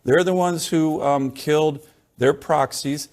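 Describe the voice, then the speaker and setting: A man's voice: fairly high pitch, steady tone, unhurried, darkish tone. A man speaking as a guest on a cable news program, pushing assertive political talking points with a few hesitant fillers.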